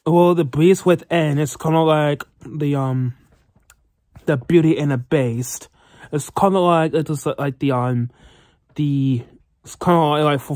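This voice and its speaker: Channel host, mid-pitched voice, slow pace, halting casual commentary, repeated words. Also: darkish tone, dry recording, studio quality. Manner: halting, repeats words while searching for phrasing. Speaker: ASMR channel host, reacting.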